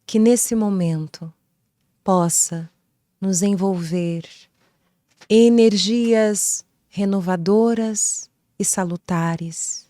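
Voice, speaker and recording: Slow, soft-spoken Brazilian woman from Rio. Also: medium pitch, no room sound. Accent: Rio de Janeiro Brazilian Portuguese. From Rio de Janeiro, Brazil. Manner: slow, soft, reflective, poetic phrasing. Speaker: singer and guest. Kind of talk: leading a prayer, then interview answers.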